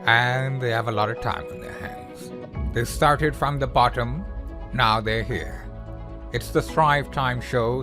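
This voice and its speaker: Guy announcer doing a jokey show intro, music under it. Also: medium pitch. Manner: playful, tongue-in-cheek. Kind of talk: scripted promo intro.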